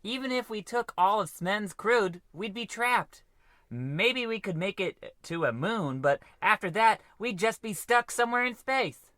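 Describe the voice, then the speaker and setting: A man's voice: high-pitched, expressive, a little slow, bright, forceful tone, no room sound, exaggerated voice. An actor playing an earnest, dutiful, slightly hesitant spaceship crewman in a comedic radio play. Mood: worried, practical. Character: dutiful, fretful male spaceship crewman, underling to captain. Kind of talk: old-time radio comedy acting.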